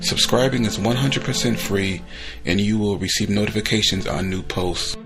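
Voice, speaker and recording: A man's voice: on the low side, moderate pace, over music. A man hosting an old-time radio channel, giving a friendly, plain intro. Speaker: podcast host.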